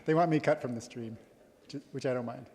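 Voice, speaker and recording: A man's voice: medium pitch, brisk, a little mellow. A man emceeing a college convocation, coaxing people back to their seats with light laughs. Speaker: event emcee. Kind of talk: emcee announcements. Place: large hall. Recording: long hall reverb.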